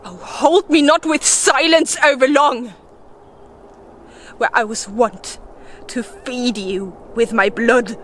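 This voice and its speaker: Over clear background noise, a woman acts out a desperate young warrior woman in a Shakespeare monologue. The voice is fairly high and very unhurried, theatrical, moving between hushed and imploring delivery. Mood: desperate pleading. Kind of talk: solo Shakespeare monologue, dramatic recitation.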